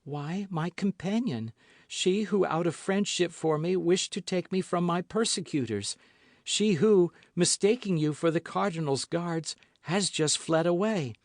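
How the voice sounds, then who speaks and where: A man's voice: sits high, a little slow, no echo, clean studio sound, voice pushed up. A man voicing a frail young woman. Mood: distressed. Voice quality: faint, fading.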